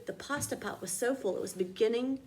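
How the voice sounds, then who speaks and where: A woman reading a children's storybook aloud in an expressive, dramatic teacher's manner. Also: fairly high pitch, a little fast, slight background noise.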